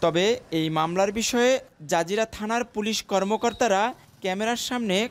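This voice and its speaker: High-voiced male news reporter. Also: brisk, a little hiss or hum. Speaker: field reporter.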